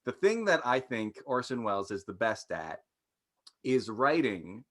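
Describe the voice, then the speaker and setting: A man chats as a panelist in a casual discussion. His pitch is average, and he offers opinions informally, often repeating a word while he works out his thought. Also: sing-song, measured pace, a bit bright.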